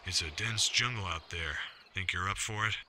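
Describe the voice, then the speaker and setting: Older military commander, low voice, very sharp and forceful, paternal, authoritative, audio drama. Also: male voice, normal speed, slight background noise. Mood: steady, concerned. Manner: authoritative, reassuring.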